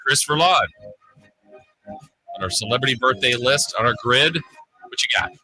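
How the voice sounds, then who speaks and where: A male sports radio host with a mid-pitched, very bright and forceful voice. There is a husky grain to it as he runs a lively on-air game, bantering and calling out turns. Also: moderate pace, no echo, faint music behind, poor audio.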